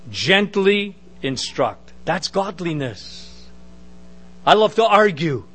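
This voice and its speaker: A middle-aged man with a big booming voice preaching a sermon, emphatic with conversational asides. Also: very animated, takes it slowly, fairly bright tone.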